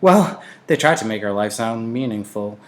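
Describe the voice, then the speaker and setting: A man voicing a frail, gossipy, slightly prim old woman in a storybook, confiding and animated. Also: on the low side, moderate pace, lightly acted. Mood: chatty, prim.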